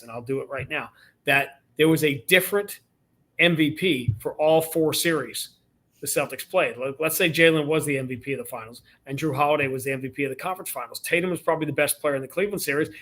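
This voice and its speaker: Brief: male play-by-play announcer as interview guest, slightly high voice, emphatic and animated, restarts his sentences. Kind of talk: casual sports interview.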